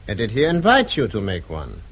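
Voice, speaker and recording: An actor playing a coldly formal Austrian official in a radio drama, speaking deliberately as if interrogating. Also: male voice, fairly low pitch, huge pitch swings, fairly bright tone, husky, full character voice. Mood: coldly probing.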